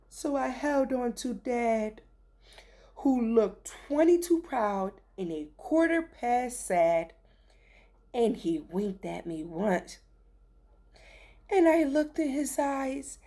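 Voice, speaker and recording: A woman reading a rhyming children's story aloud with theatrical, deliberate phrasing. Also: on the high side, dark tone.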